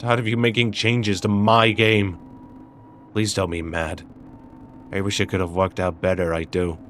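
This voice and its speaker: A man playing a weary, conflict-averse young friend in a drama, speaking in a subdued, halting, apologetic but firm way. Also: low voice, level tone, fairly bright tone, slight background noise. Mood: miserable, weary. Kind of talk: dramatic dialogue acting.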